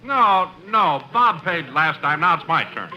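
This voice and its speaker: A man's voice: on the high side, animated, unhurried, lo-fi sound. An actor playing a jovial, good-natured American man with an emphatic delivery in a 1950s radio comedy. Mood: insistent. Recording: faintly noisy 1950s radio recording.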